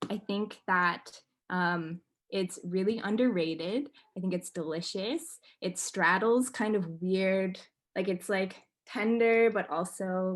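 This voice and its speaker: A woman leading a casual group check-in over a video call, friendly and hesitant, with frequent ums. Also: mid-range voice. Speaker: workshop facilitator.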